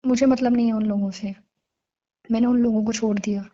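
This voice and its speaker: A woman acts out a young girlfriend full of remorse in a phone-call drama. Her voice is fairly high and very soft-edged and mellow. She pleads earnestly and intimately, over a muffled lo-fi call recording. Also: fairly even intonation, brisk, natural voice. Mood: resigned.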